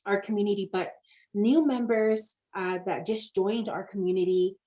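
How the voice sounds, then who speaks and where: A woman in a mid-range voice hosts a relaxed online interview. She gushes over everything and keeps the talk going with plenty of ums and you-knows. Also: medium pitch, normal speed, lo-fi sound. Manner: gushing, effusive, many fillers. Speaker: host.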